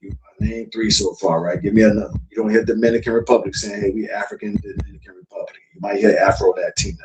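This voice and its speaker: A man's voice: fairly low pitch, steady tone, fast, a little mellow. A man from South Florida hosting a casual talk show, lecturing loosely and swearing freely. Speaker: podcast host. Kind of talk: casual chat. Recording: distant mic with faint noise.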